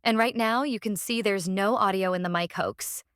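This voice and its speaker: A woman narrating a casual software tutorial, explaining step by step in a halting way and repeating phrases. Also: medium pitch, clear, resonant tone, dry recording, clean studio sound. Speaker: tutorial presenter.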